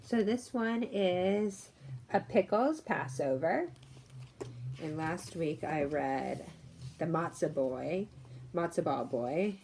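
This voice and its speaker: A woman reading a story to children, pausing for casual explanatory asides and questions to her listeners. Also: sits low, darkish tone, a little hiss or hum.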